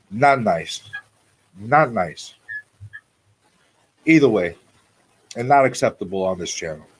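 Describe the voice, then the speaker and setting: A man's voice: mid-range voice, breathy edge, rough recording. A man in his forties hosting a casual live talk show, repeating phrases for emphasis as he talks off the cuff. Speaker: radio show host.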